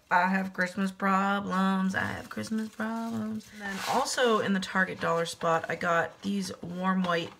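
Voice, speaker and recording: A woman's voice: medium pitch, fairly even intonation, slow, vocal fry, fairly bright tone, a little hiss or hum. A woman in her thirties losing her voice, chatting casually through a home decor haul in her kitchen on a webcam. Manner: chatty, self-deprecating, upbeat. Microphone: webcam at desk distance.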